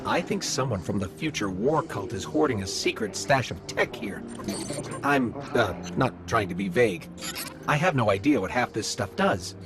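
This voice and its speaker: A man's voice: on the low side, animated, normal speed, natural voice. A man voicing a small floating robot companion in a video game, curious and dry, musing aloud with wry asides. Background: game music.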